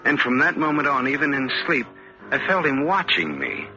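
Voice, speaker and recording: Guilt-haunted young male stowaway, meek and halting, expressive swings, muffled vintage radio sound with loud music. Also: mid-range voice. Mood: haunted, confiding. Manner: hesitant, halting, confessional. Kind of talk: 1940s radio drama acting, first-person narration and dialogue.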